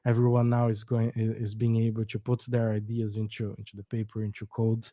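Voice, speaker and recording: A man's voice: fairly low pitch, completely flat delivery, brisk, dark tone, no echo, clean studio sound. A young adult man from Brazil with a Brazilian Portuguese accent, a startup co-founder explaining his company earnestly in a podcast interview.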